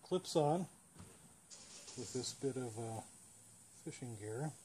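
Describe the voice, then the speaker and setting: A man's voice: medium pitch, animated, slow, dark tone. American man explaining, noisy outdoor recording. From United States. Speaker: host, radio hobbyist demonstrating a home-built antenna. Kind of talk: casual how-to demonstration. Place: outdoors beside a parked car. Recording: clear background noise, outdoor ambience.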